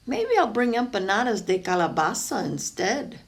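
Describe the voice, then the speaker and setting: A woman reading a storybook and voicing an eager, expressive young boy from a family of bakers. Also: mid-range voice, normal speed, natural voice. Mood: eager, musing.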